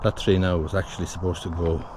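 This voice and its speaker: Deep-voiced older man, outdoor selfie. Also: level tone, quick pace. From Ireland. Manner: rambling, self-correcting storytelling. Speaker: vlogger. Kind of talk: casual selfie vlog. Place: outdoors in a garden by a house. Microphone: phone camera at arm's length. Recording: noisy outdoor phone recording.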